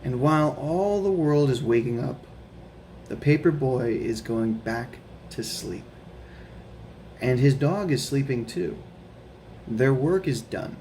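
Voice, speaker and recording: A young adult man reading a children's picture book aloud at home, in a gentle storytelling way with chatty asides and questions for the listeners. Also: mid-range voice, animated, takes it slowly, long pauses, a little hiss or hum. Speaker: guest reader of a children's book. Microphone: camera mic.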